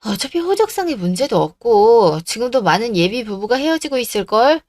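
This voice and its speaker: A woman acts out an indignant young fiancée in a radio drama. She is blunt and scolding, with a fairly high voice in a dry recording. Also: big pitch swings, a little fast, a little mellow, no echo. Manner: blunt, scolding, rhetorical questions. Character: indignant, firm young woman breaking off her engagement.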